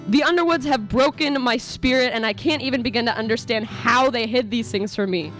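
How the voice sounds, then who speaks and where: A woman's voice: on the high side, fairly quick, fairly bright tone, close to an everyday voice. A young woman playing an exasperated, sarcastic narrator in a comic student radio play. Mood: wry, fed up. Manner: sarcastic, melodramatic. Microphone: microphone on stand. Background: music.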